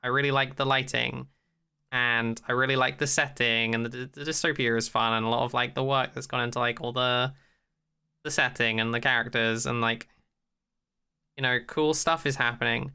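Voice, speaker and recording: A man co-hosts a casual podcast about television in a clear, bright voice at a middling pitch. He is opinionated and critical, dryly sarcastic at times, and swears freely as he talks. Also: steady tone, moderate pace. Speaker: podcast co-host. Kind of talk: casual opinionated discussion of a TV show.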